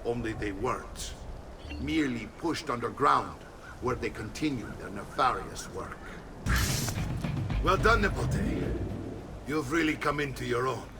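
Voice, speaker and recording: A man's voice: medium pitch, expressive, unhurried, pauses often, heavy background noise. An actor playing a stern, fatherly, middle-aged Italian uncle and mercenary mentor in a video game, speaking emphatically with an Italian accent and sprinkling in Italian phrases. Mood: grave, proud. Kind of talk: dramatic video game voice acting.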